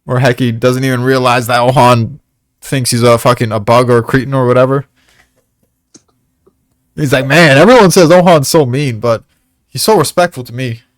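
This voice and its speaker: A man's voice: mid-range voice, normal speed, pauses often, dry recording, studio quality. A man chatting casually as co-host of a discussion show, rambling along in profane, slangy talk. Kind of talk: casual chat.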